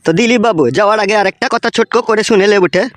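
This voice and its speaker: A man's voice: high voice, fairly even intonation, rapid-fire, natural voice. A man voicing a cheeky, foul-mouthed village friend in a comic cartoon, with a rural West Bengal accent and an insistent, teasing way of talking. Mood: insistent advising. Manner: crude, swearing, teasing and insistent.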